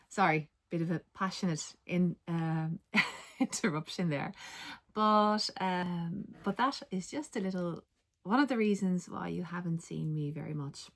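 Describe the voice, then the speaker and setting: A middle-aged woman with a light Dutch accent talks in a relaxed, chatty way, pausing on ums and correcting herself as she goes. Her voice sits fairly low and has a gravelly edge. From Netherlands. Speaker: knitting vlogger and linguist. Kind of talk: casual vlog chat.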